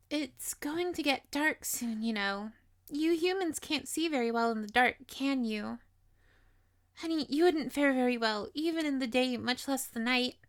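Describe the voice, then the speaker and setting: High-voiced, possessive lamia girl, played by a woman. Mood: coaxing, teasing. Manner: coaxing, teasing, addressing listener with pet names. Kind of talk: intimate roleplay audio drama monologue.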